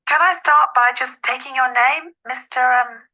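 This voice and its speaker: A woman's voice: high voice, steady tone, normal speed, sharp tone, dull audio. A woman playing a polite, helpful estate agent in an acted phone dialogue, businesslike and courteous.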